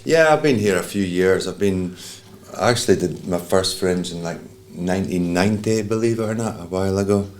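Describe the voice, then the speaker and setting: A man's voice: low-pitched, a little fast, a little mellow, slight background noise. A middle-aged man with a Scottish accent, a flamenco guitarist talking in a rambling way with many fillers. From Scotland.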